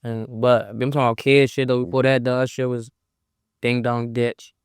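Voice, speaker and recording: A man's voice: mid-range voice, flat delivery, moderate pace, smooth, no room sound, studio quality. A man from Southeast Washington DC with a DC accent, talking laid-back and slangy as a guest on an interview podcast. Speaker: rapper, guest. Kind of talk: casual interview chat.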